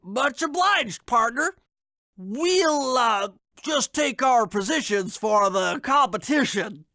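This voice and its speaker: A man voicing a small, brainy, fussy male turtle in a video game, with a high, pinched, precise delivery. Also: animated, slow, pushed and bright, dry recording, clean studio sound, exaggerated voice. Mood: polite. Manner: precise, wordy, bookish. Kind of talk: video game character voice acting.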